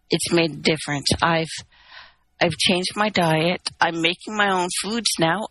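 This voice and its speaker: Brief: Canadian-born woman, bright tone, candid and wry, dry sound. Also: fairly low pitch, no echo, rough recording. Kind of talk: casual phone-in chat.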